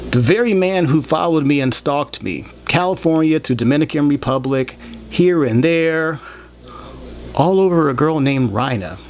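A man in his thirties with a mid-pitched voice talks bluntly and with grievance, in the loose, rambling way of an online show host. He is recorded on a desktop mic in a small room, with some noise and ambience behind him. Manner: blunt, profane, aggrieved. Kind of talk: rambling opinionated monologue to camera. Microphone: desktop microphone, later held in hand.

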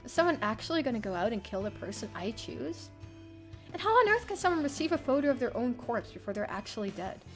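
A young woman streamer reading a visual novel aloud in an expressive, theatrical first-person narration. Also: fairly high pitch, fast. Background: soft game music.

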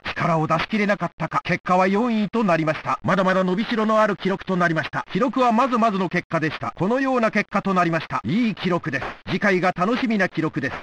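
High-pitched, rapid-fire game sports announcer. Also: male voice, noisy background. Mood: measured, concerned. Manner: brisk, emphatic announcing. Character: excitable male Olympic sports announcer in a video game. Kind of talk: excited sports play-by-play commentary.

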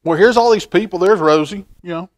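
A middle-aged man reading a picture book aloud to children in a folksy, expressive way, with chatty asides. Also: sits high, fairly quick. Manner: folksy, expressive, asking the listener questions. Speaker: library storytime reader. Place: indoor library children's room.

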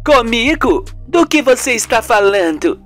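A man's voice: very high voice, very animated, measured pace, a bit bright, faint music behind, voice pushed up, full character voice. A man voicing a gossipy, suspicious wife in a radio serial, with an emphatic, colloquial way of talking. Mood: insistent.

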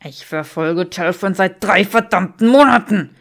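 A woman's voice: medium pitch, unhurried, creaky. Lady doing a snarly arrogant wizard guy in an audiobook, sneering and irritated. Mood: furious, snarling. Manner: snarling, hissing.

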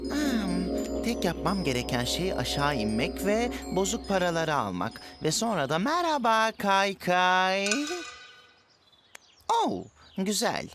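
Casting: a mischievous, whiny little boy for animation; unhurried, very animated delivery. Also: fairly low pitch, over music. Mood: scheming, effortful. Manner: whiny, exclamatory. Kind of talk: Turkish cartoon dubbing.

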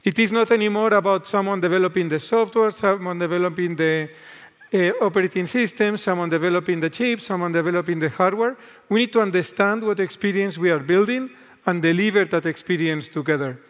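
Spanish-accented man in a reverberant hall. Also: high-pitched. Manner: earnest, deliberate corporate speech. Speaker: tech executive guest on stage. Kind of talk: onstage keynote conversation. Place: large conference stage. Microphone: headset mic.

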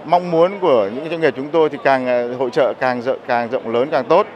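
A middle-aged man, a deputy general director, giving a halting answer full of pauses into a handheld mic at a trade fair. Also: mid-range voice. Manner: halting, with many fillers. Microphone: handheld broadcast mic. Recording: dull sound, crowd in hall.

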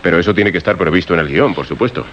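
An actor playing a level-headed, assured radio director in a radio drama. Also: male voice, deep voice, expressive, very fast. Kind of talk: Spanish radio drama acting. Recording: muffled radio recording, faint noise.

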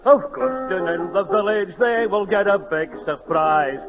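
A man's voice: sits high, a little slow, loud music behind the voice. A man in his sixties performing a comic Scottish song on 1930s radio. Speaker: amateur singer of Scotch songs. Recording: tinny, narrow 1930s radio broadcast.

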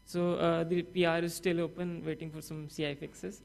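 Young man presenting a conference talk, halting. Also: fairly high pitch, little pitch movement, creaky. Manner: halting, many fillers and restarts. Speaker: student project presenter. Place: conference hall stage.

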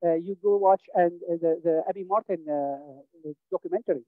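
Man, halting and emphatic. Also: fairly high pitch, no room sound. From Syria. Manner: halting, many fillers and restarts, emphatic. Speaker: audience member. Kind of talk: impassioned political comment. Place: small home room, indoors, video call. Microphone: headset mic. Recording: dull dry video-call audio.

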